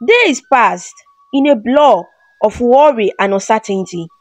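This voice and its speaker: Slow, expressive woman storyteller. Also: a little high, no room sound, low-quality recording. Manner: dramatic, measured storytelling. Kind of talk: scripted folktale narration.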